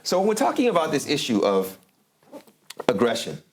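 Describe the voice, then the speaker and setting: A man's voice: mid-range voice, huge pitch swings, normal speed, a little hiss or hum. A man is hosting an interview show in a mid-range voice. He talks informally and conversationally, mixing in Arabic religious phrases. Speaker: talk show host.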